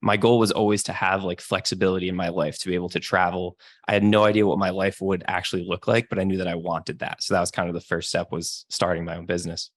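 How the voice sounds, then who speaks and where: A young man speaking as a podcast guest in a relaxed, laid-back way, laughing easily. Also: deep voice, quick pace, no echo, clean studio sound. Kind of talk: casual chat.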